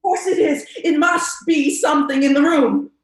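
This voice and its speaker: Anxious high-voiced gothic sister played by a woman. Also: fairly even intonation, normal speed, reverberant, close to an everyday voice. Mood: uneasy. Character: fearful, agitated sister in a gothic ghost story. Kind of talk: old-time radio drama acting.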